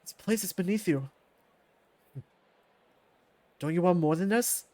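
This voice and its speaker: A man voicing a confident old fighter who recruits with measured, self-assured speech in a visual novel. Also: sits high, mellow, no echo, natural voice.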